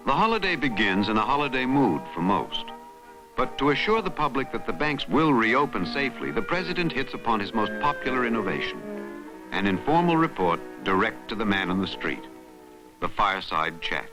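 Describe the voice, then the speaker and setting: A man narrating a historical documentary in a solemn, dramatic, measured newsreel style. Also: medium pitch, sing-song, rough recording. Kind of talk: scripted historical documentary narration, mid-century newsreel manner. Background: music.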